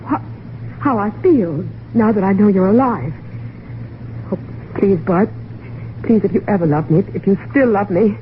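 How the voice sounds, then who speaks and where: An actress playing a flustered, evasive wife in an old-time radio mystery, halting and stammering as she pleads. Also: medium pitch, close to an everyday voice. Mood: pleading. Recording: tinny, noisy vintage radio recording.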